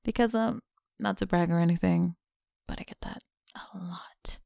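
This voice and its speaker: Woman doing a mean tsundere college girl for asmr, close dry mic, creaky, smug n teasing, goes whispery. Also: lowish voice, steady tone, normal speed, warm tone, no room sound. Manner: smug, teasing, often dropping into breathy whispers. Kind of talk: ASMR roleplay, close and intimate.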